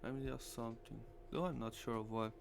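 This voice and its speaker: Man, gravelly, visual novel narration, streamer, noisy recording with hum. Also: mid-range voice, fairly even intonation, a little fast. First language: Portuguese. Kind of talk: reading a visual novel aloud.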